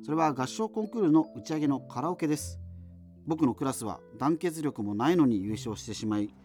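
A man's voice: on the high side, expressive, a little fast, darkish tone, lightly acted. A man playing a wistful, self-effacing radio show host who rambles through a scripted monologue. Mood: presenting, low-key. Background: music.

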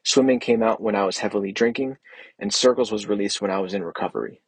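Husky-voiced candid man. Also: lowish voice, quick pace, dry recording. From Chicago. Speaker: podcast listener sending a voice message. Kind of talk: reading a prepared listener message.

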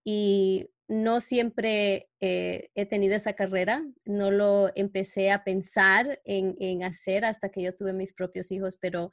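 A middle-aged woman who grew up in New York, talking as an interview guest. Her pitch sits in an ordinary range for a woman. She speaks reflectively and personally, often halting to find the right word. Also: flat delivery. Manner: halting, searches for words in Spanish. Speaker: interview guest, educator for parents who are abuse survivors.

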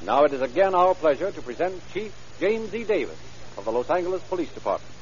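A man's voice: a little high, very animated, fast. A man announcing a 1930s radio commercial in a polished, folksy sales manner. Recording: dull old radio recording, music behind.

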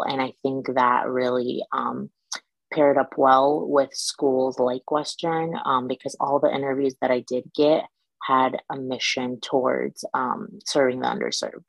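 A young woman from Iraq talks through her path as a dental student, measured and thoughtful, pausing often. Her voice sits very low with a gravelly edge, recorded dry and close. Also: level tone, no room sound, poor audio. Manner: measured, thoughtful, frequent "um" pauses. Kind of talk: casual interview answers.

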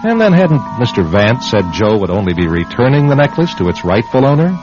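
A man's voice: medium pitch, sing-song, a little fast. A man hosting and narrating a radio suspense program in a scripted, dramatic storytelling style. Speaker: host and narrator. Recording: muffled old radio broadcast. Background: loud dramatic music.

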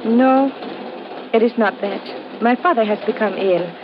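Woman acting high-voiced, polite young lady. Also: a little slow, close to an everyday voice. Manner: careful, formal English. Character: polite, soft-hearted young Portuguese woman traveler. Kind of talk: old-time radio acting. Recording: muffled, noisy vintage radio recording.

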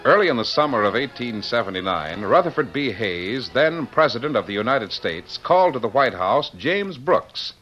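A man's voice: medium pitch, very animated, moderate pace, few pauses, fairly bright tone, muffled recording, faint music behind. A man narrating a vintage radio adventure, declaiming in a grand, theatrical way on an old recording. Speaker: radio show announcer and narrator. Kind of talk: 1940s-50s radio adventure announcing, dramatic scripted narration.

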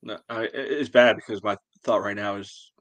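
A man's voice: fairly low pitch, steady tone, normal speed, a bit bright, no echo. A man co-hosting a sports podcast, talking casually in a dry, hesitant way. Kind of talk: casual soccer fan discussion.

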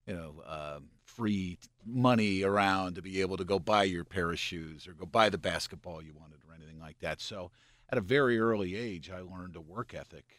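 A man in his sixties from Pennsylvania, a radio program director, telling stories in a relaxed, explaining way with a slightly gravelly voice. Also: sits low, moderate pace, no echo, studio quality. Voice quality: gravelly from scotch and cigarettes. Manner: relaxed storyteller, explains with asides and "you know". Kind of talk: casual interview storytelling.

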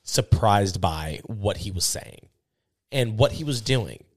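A man's voice: on the low side, lively intonation, slow. A man co-hosting a casual faith podcast, affirming and reflective. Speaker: podcast co-host.

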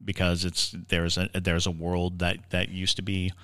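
A man's voice: sits low, completely flat delivery, measured pace, a bit bright. A man co-hosting a conversational paranormal podcast, explaining his ideas in a musing way and often repeating words as he gathers them. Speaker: podcast co-host.

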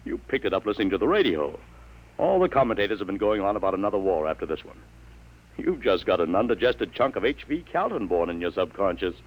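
A bewildered father in an old-time radio drama. His low voice swings widely in pitch as he hesitates and stammers in disbelief. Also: sing-song, fast, exaggerated voice, rough recording. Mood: incredulous. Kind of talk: 1940s-1950s radio drama acting.

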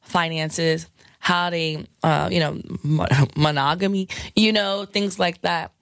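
A woman DJ with a scratchy, hoarse voice, chatting frankly in an interview with lots of fillers and laughs. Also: sits low, fairly even intonation, slow, fairly bright tone, no echo, clean studio sound. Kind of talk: candid casual interview talk.

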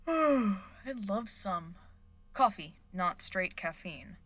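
A woman's voice: medium pitch, lively intonation, extremely slow, a bit bright, exaggerated voice. A woman playing an earnest understudy actress in an audio drama, sincere and theatrical in delivery. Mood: grateful, relieved. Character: earnest understudy actress rehearsing Shakespearean tragedy.

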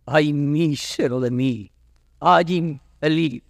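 Anguished prisoner in long reverb. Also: male voice, on the high side, creaky, clean studio sound. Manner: solemn, drawn-out, impassioned declamation. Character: anguished imprisoned young man lamenting his fate. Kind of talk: classical Spanish verse drama, declaimed.

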